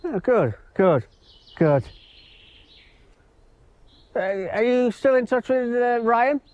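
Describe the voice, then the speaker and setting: Casting: cheery middle-aged ex-teacher, high swooping voice, effusive film small talk. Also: male voice, lively intonation, slow, darkish tone, dry recording, lo-fi sound, exaggerated voice. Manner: effusive, repeats himself. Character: chirpy, kindly older male former schoolteacher.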